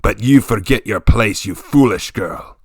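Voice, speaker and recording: A man voicing a stern, gruff warlord chieftain speaking to his daughters, grave and commanding. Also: fairly low pitch, dry recording, clean studio sound. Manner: commanding, grave, slow and weighty.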